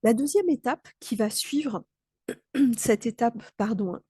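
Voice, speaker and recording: A woman's voice: fairly high pitch, takes it slowly, warm tone, breathy edge, dry recording, studio quality. A woman mentor with a slight cold, explaining in a thoughtful, hesitant way with many fillers and restarts. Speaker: mentor and trainer. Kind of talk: explanatory talk to camera.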